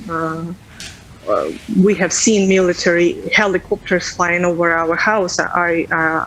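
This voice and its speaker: A woman in her thirties from Ukraine, a native Russian speaker, speaking English with an accent in a remote news interview, earnest and halting with many fillers. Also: fairly low pitch, low-quality recording. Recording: faint background noise.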